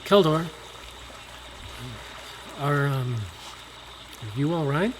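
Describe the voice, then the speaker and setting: A man playing a hesitant, concerned adventuring companion, speaking tentatively and haltingly in a fantasy audio drama. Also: fairly high pitch, expressive, noisy background.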